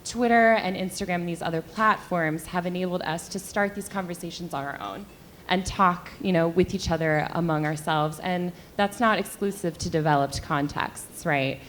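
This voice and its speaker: A young woman with a slightly low voice speaks candidly on a panel, chatty and loose with fillers. A faint hiss sits under her voice at the luncheon. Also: normal speed, fairly bright tone. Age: young adult. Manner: candid, conversational, frequent fillers. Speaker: panelist, sex and relationship editor. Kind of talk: panel discussion remarks. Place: luncheon event hall.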